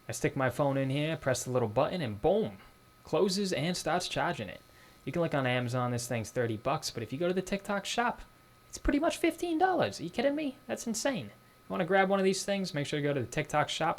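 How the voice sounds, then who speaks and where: A man putting on a Boston accent to play a hyped male product pitchman, brash and salesy. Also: very animated, fairly quick. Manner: brash, salesy, growing louder and higher.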